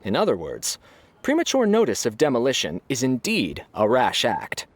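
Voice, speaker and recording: A man voicing an impartial arbiter who rules on a debate in a measured, formal way. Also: mid-range voice, huge pitch swings. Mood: neutral. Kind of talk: game voice acting.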